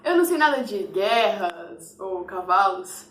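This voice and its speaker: An actress with a Brazilian accent playing a sharp-tongued, gossiping housemaid of twenty-four who mocks her mistress. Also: mid-range voice, lively intonation, measured pace, slight background noise. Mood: gossipy. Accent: Brazilian Portuguese. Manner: gossipy, mocking. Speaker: acting student. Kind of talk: mock-documentary stage acting. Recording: echoey room.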